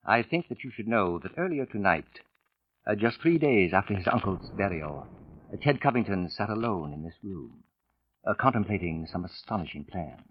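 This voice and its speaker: An actor playing a suave, self-satisfied crime writer in a 1940s radio mystery, speaking precisely and theatrically with savored pauses. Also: male voice, lowish voice, animated, a little hiss or hum, natural voice. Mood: ominous, suspenseful. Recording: dull old radio recording with music.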